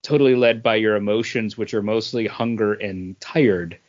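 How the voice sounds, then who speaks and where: A man's voice: on the low side, normal speed, darkish tone. A man co-hosting a podcast, giving his opinions in casual conversation. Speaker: podcast co-host. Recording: dull, lo-fi podcast audio.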